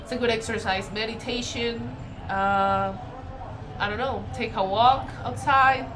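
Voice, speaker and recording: A woman's voice: on the high side, steady tone, unhurried, background noise. Colombian woman reading tarot at home. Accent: Colombian Spanish. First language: Spanish. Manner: chatty, self-correcting, spiritual. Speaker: tarot reader. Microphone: built-in device mic, no external microphone.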